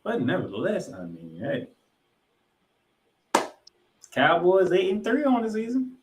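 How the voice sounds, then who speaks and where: A man's voice: sits high, huge pitch swings, unhurried, slight background noise. Male American football podcast host, emphatic announcer-style stat reading, echoey room. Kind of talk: football recap commentary. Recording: roomy, echoing sound.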